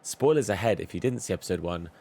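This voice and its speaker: A man with a hoarse voice, losing it, giving chatty, upbeat commentary over a football video game and talking straight to his viewers. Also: sits low, animated, quick pace, warm tone. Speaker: gaming YouTuber. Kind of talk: casual gameplay commentary.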